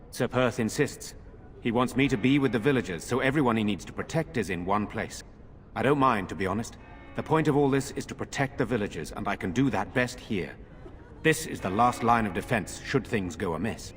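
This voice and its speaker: Guy doing a serious noble lord, lowish voice, formal and earnest, faint music behind. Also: expressive, fairly quick, lightly acted. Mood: practical. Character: noble lord, middle-aged man, earnest and grave. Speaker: village lord. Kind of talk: game voice acting.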